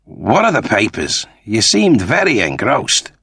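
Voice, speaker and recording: A man's voice: on the low side, huge pitch swings, unhurried, vocal fry, pushed and bright, slightly rough, no room sound, studio quality, heavily acted. A male narrator voicing a serious, guarded and menacing male space crewman in a sci-fi audiobook, speaking tersely. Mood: cold, serious.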